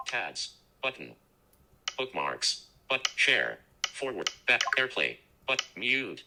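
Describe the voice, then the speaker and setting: A man's voice: mid-range voice, sing-song, slow pace, creaky, pushed and bright, rough recording. A synthetic male screen reader voice making clipped interface announcements.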